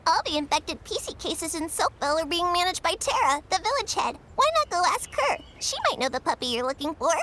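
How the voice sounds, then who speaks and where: A woman's voice: very high voice, sing-song, a little fast, hardly any pauses, exaggerated voice. A woman voicing a cheeky, eager young plush villager in a video game, perky and childlike. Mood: puzzled, helpful. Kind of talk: video game character acting. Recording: loud game music behind the voice.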